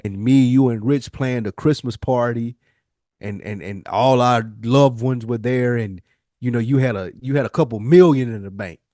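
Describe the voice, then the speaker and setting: Guy on a podcast, mid pitch, dry sound, slangy n sweary, keeps pushing his point in casual debate. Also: moderate pace, warm tone, dry recording. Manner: slangy, profane. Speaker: podcast co-host.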